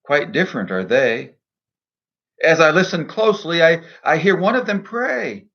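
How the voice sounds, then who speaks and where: A man speaking in a measured, earnest, deliberate way, in the style of a devotional poetry reading and reflection. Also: on the high side, very animated, a bit bright, highs cut off. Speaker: minister and poet reading own poem.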